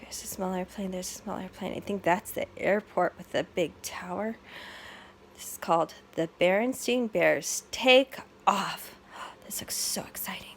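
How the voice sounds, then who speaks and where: A woman reading a picture book to young children in an expressive way, adding her own asides and questions to the listeners. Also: fairly low pitch, a little slow, slight background noise. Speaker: story-time reader for young children.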